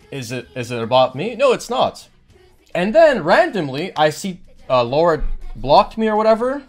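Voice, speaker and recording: A man streamer ranting to his chat in casual talk, swearing often and acting out what others said to him. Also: mid-range voice. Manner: heated, petty, swearing, quotes others in raised voice; says he has a monotone voice.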